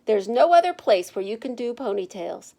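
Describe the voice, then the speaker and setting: Storybook mother read by a woman, patient and questioning, slightly high voice. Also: big pitch swings, normal speed, creaky, mellow, heavily acted. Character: patient, puzzled mother questioning her young daughter.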